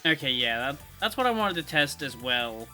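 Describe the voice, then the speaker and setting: Man livestreaming over clear game music. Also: mid-range voice, big pitch swings, normal speed, pushed and bright. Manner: thinks aloud, explains game mechanics conversationally. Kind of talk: casual live gameplay commentary. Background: video game music.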